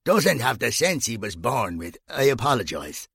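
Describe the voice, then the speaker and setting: A man voicing a calm, cautious older bouncer who talks in a low, placating way. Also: normal speed, gravelly, slightly rough, no room sound, clean studio sound, exaggerated voice.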